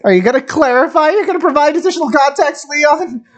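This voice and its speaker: A man streaming casual game commentary, excitable and jokey, rambling as he reacts. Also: very high-pitched, quick pace.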